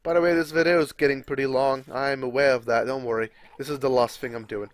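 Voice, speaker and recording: A man's voice: medium pitch, steady tone, fast, no echo. A young man from the Czech Republic with a Czech accent, rambling casually as he commentates a game, restarting sentences and swearing now and then. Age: young adult. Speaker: gaming commentator.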